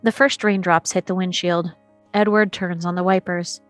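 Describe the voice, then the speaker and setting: A woman's voice: fairly low pitch, steady tone, moderate pace, no room sound, soft background music. A woman narrating scene headings and action descriptions as scripted narration in a table read.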